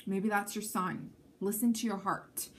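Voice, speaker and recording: A woman from Canada reads tarot cards straight to her viewers, talking in a loose, chatty way and often checking that they follow. Her first language is Armenian. Her voice sits in an ordinary middle pitch. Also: fairly even intonation, a little slow. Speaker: tarot reader. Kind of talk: intuitive tarot reading to camera.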